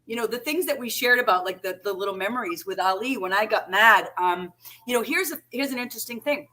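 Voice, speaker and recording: A woman's voice: on the high side, fast. Chatty woman with a Massachusetts accent. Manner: chatty, warm, seeks agreement with frequent "right?". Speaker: co-host. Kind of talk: casual chat.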